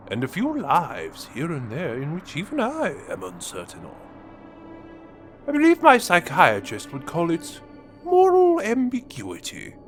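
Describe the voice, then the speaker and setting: A sneering audio drama villain, slow menacing monologue, slightly high voice swinging all over, music under it. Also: male voice, exaggerated voice. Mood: brooding boast.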